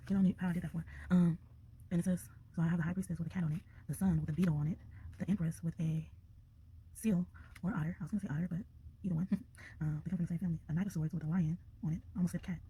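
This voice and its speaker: A woman's voice: on the low side, fairly even intonation, very fast, warm tone, breathy edge, low-quality recording. A young woman giving a casual tarot reading close to the mic, chatty and loose with frequent laughs and fillers, her voice slightly congested. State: slightly congested from a sinus infection. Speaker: tarot reader. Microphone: close to a desk microphone.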